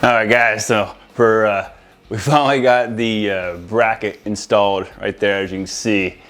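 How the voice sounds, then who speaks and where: A man's voice: on the low side, fairly even intonation, normal speed, fairly bright tone. A man talking informally to viewers as an installer, walking through a product demo in a casual way and addressing them as guys. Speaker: audio-video installer presenting to viewers.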